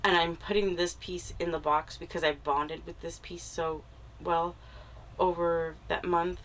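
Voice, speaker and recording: A woman talks through her crystal picks in an unhurried, easygoing way, pausing with little fillers. Her voice sits a little low, with a faint hiss behind it. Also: level tone, low-quality recording. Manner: soft, unhurried, with pauses and fillers. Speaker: crystal shop vlogger. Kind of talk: casual explanatory vlog.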